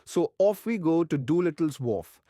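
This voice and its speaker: A man voicing an earnest foreign professor and monster hunter in an audiobook, with halting grammar and grand rhetoric. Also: a little high, lively intonation, dark tone, dry recording, studio quality, close to an everyday voice. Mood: recounting. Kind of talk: dramatised audiobook narration.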